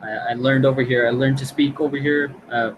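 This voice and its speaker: A man's voice: medium pitch, fairly even intonation, highs cut off, noisy background. Chatty Pakistani man on a video call. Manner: quick, chatty, repeats words when restarting. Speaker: online English tutor. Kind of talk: casual video-call chat.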